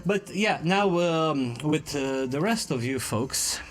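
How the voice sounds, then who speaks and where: Slow, hesitant male game master. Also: a little high, expressive. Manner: hesitant, many fillers. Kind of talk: narrating a tabletop game.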